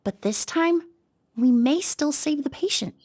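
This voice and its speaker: A woman's voice: high voice, animated, unhurried, a little mellow. A woman voicing a composed young perfumer and forensic expert in a video game, precise and explanatory. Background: game music.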